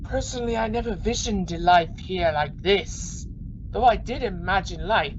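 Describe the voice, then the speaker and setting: A man's voice: sits high, normal speed, lightly acted. A man playing a wary, questioning crewman on a submarine in an amateur sci-fi radio play. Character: uneasy, doubting male crewman on an alien-ocean submarine. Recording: muffled recording with faint noise.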